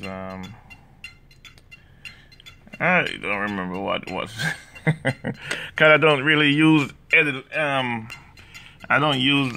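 A man with a Jamaican accent, talking through drone setup software in a hesitant way, full of fillers and restarts. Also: medium pitch, gravelly, a little hiss or hum. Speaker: hobbyist demonstrating drone software. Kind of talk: casual screen walkthrough. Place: indoors, room with a laptop.

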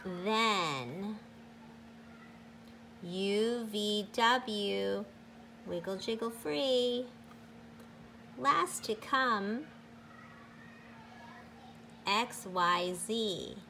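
A woman teacher reading a picture book aloud to children in a deliberate, sing-song, emphatic way. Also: medium pitch, gravelly, pushed and bright, a little hiss or hum.

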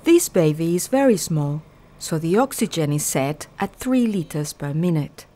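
A woman reading scripted narration for a training film in a measured, instructional manner, step by step. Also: low-pitched, animated, mellow.

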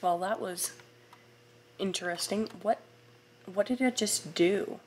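A young woman with a raspy, hoarse voice, just getting over a sore throat, chatting excitedly through a let's-play of a game she has never played. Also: medium pitch, takes it slowly. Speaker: gaming YouTuber. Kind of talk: casual let's-play commentary.

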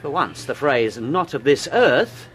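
An actor playing an arrogant, dismissive scientist in a radio drama, with a condescending, sardonic manner. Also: male voice, mid-range voice, big pitch swings, unhurried, a bit bright, a little hiss or hum.

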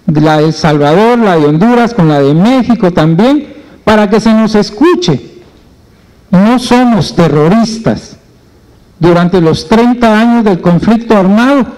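A middle-aged man addresses a public forum from a panel table in a conference hall. He holds a handheld mic and speaks in an earnest, steady way, and his voice sits high but sounds dark and mellow. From Guatemala. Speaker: trade union leader.

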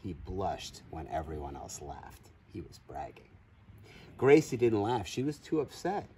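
A man in his forties reads a picture book aloud with lively, swooping pitch. His voice is mellow and expressive, playful as a teacher talking to small children. It is recorded outdoors, with faint background noise. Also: measured pace. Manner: expressive, playful asides to a goat. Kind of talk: animated read-aloud storytelling for children. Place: outdoors on grass in a farm field.

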